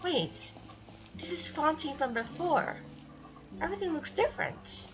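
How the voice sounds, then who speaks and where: A woman's voice: sits high, lively intonation, measured pace, rough recording. A woman hosting a gameplay video, commenting and reading game text aloud. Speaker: gaming video host. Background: faint game music.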